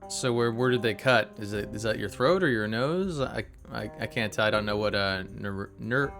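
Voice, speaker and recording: A man's voice: lowish voice, moderate pace, creaky, soft background music. A man with a nasal voice, groggy from just waking up, chatting casually and rambling with fillers as he streams a game. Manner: relaxed, rambling, many fillers. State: sleepy, just woken up. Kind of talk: casual live-stream gameplay commentary.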